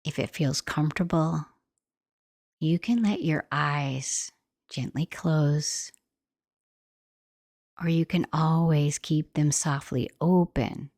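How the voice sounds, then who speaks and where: A woman guiding a meditation in a gentle, soothing, unhurried manner, like soft instructional narration. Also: low voice, dry recording, clean studio sound. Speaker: meditation guide.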